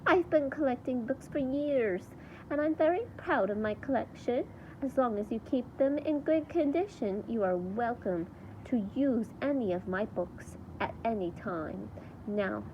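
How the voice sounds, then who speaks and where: A kindly woman judge from a children's storybook, acted out by a woman reading aloud. Her voice is high, polite and lilting, with faint noise behind it. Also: animated, unhurried, muffled recording. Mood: pleased, welcoming. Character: kindly, gracious woman judge who loves books.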